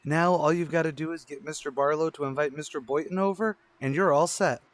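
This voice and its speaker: Eager, highish-voiced teenage boy student played by a man. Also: steady tone, a little fast, lightly acted. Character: eager, florid teenage male high school student. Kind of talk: old-time radio sitcom acting.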